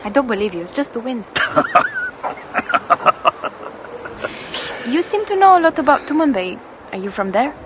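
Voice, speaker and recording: A woman's voice: high voice, huge pitch swings, a little fast, noisy background. A woman playing a curious, outspoken young traveller in an audio drama, eagerly questioning and challenging. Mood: curious, skeptical.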